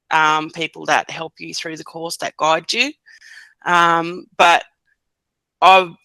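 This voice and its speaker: A woman's voice: low voice, sharp tone, dry recording, rough recording. A woman in her thirties, a podcast guest giving short, hesitant answers with many fillers. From Australia. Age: 30s. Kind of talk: casual podcast interview.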